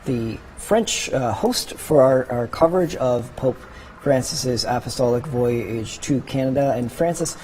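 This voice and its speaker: A man anchoring live news coverage from a studio as host, commenting in a hesitant, halting way. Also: medium pitch, a little mellow, rough recording. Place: broadcast studio. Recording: clear background noise.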